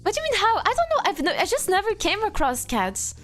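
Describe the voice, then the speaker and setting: A woman's voice: very high voice, huge pitch swings, fast talker, fairly bright tone, soft background music. A young woman in her twenties from France with a French accent, chatting playfully on a live stream and answering chat. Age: 20s. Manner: playful, reads chat aloud, frequent laughter.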